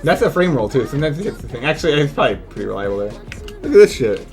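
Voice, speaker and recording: A man's lively voice jumps around in pitch as he talks through gameplay. He sounds like a streamer in casual conversation with his chat, openly incredulous and loose with swearing. Soft music plays behind him. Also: quick pace. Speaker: video game streamer and speedrun analyst. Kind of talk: casual live gaming commentary.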